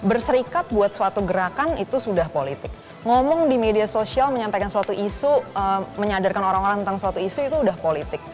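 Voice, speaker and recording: A woman's voice: medium pitch, lively intonation, fast talker, a little mellow, faint music behind. A young woman speaking as a guest on a studio panel, explaining her view fluently. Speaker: talk show guest.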